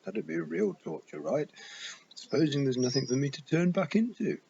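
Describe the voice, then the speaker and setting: A man's voice: fairly high pitch, moderate pace, vocal fry, pitched above the performer's own voice. A man voicing an anxious talking toad who was once human, musing and fretting as he rambles. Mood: fretful musing. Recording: muffled with steady hiss.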